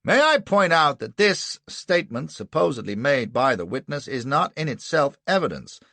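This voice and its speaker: An indignant defence lawyer in an audiobook, acted by a man. He is pompous and forceful, with formal, blustering delivery. The voice is pushed high and swings widely, with a rough edge. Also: sing-song, moderate pace, no room sound, exaggerated voice. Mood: objecting. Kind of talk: audiobook character acting.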